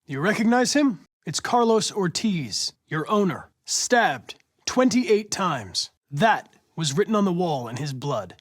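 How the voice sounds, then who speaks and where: A man's voice: sits high, big pitch swings, unhurried, heavily acted. An American man playing a polite, analytical young android detective in a video game, earnest and determined, with a precise, formal delivery. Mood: measured, analytical.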